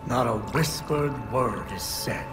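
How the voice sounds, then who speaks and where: A sly, bitter crime boss, played by a man speaks slowly and with guarded sarcasm. His pitch swings theatrically in a roomy, echoing space with game music underneath. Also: close to an everyday voice. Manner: measured, cagey, sardonic. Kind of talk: video game villain acting.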